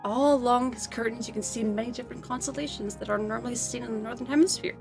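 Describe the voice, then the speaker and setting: High-voiced woman co-hosting. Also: fairly even intonation, quick pace, gravelly, over music. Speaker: co-host. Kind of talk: scripted animated commentary banter.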